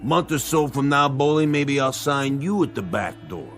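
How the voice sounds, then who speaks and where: A man's voice: mid-range voice, lively intonation, moderate pace, faint music behind, close to an everyday voice. An actor playing a sleazy, mocking fight manager in a radio drama, needling as he talks. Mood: taunting. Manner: sneering, needling.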